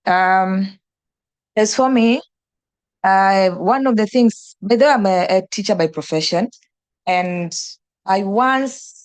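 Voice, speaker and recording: A woman's voice: mid-range voice, slow, pauses often, dry recording. A young woman from Tanzania, an NGO director and teacher, answering on a panel with many fillers and restarts.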